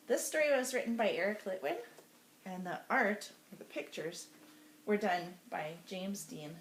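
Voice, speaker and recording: A woman teacher reading a storybook aloud to young children in an expressive, sing-song way, asking them questions as she goes. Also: medium pitch. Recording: roomy echo with faint background noise.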